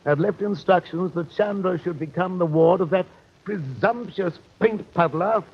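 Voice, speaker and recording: An actor playing a genteel, roguish British captain, pompous and long-winded, in an old radio detective drama. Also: male voice, high-pitched, normal speed, creaky, slightly rough, heavily acted. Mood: pompous storytelling. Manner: pompous, blustering, flowery phrasing. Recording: muffled old radio recording, faint noise.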